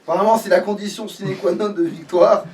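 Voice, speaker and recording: A man's voice: high voice, steady tone, fairly quick, darkish tone. A man chatting casually as he plays a video game, with slangy, sweary talk. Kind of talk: casual let's play chat while playing. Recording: distant mic, noisy background.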